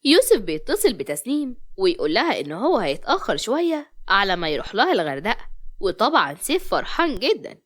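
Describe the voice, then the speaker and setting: A woman's voice: mid-range voice, very animated, quick pace, fairly bright tone. A woman with an Egyptian accent narrating a scripted dramatic story as a storyteller.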